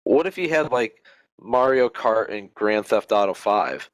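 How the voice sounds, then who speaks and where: Animated American man from Ohio. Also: fairly low pitch, unhurried, dry recording, rough recording. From Cleveland, Ohio. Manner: joking, animated storytelling. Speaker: podcast co-host. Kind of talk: casual chat.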